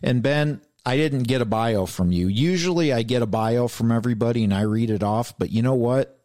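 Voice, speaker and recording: A man's voice: mid-range voice, fairly quick, darkish tone, dry recording, clean studio sound. A man hosting a faith podcast, speaking in an earnest, heartfelt way. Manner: heartfelt, calls his guest "brother". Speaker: podcast host.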